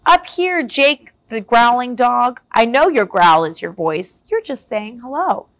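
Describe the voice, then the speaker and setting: A woman doing a squeaky, kind little squirrel. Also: very animated, measured pace, dry recording, full character voice. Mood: gentle. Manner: squeaky, gentle.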